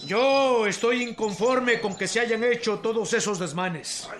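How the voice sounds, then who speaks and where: A man's voice: high-pitched, measured pace, fairly bright tone. A man acting an earnest, indignant revolutionary chief in a radio drama, pleading formally and emphatically. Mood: firm, disappointed. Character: earnest, indignant revolutionary chief protesting his men's abuses. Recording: echoey room with crowd noise.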